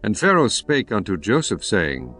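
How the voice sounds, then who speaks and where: A man narrating scripture in a solemn, expressive scripted reading. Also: fairly low pitch, moderate pace. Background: faint music.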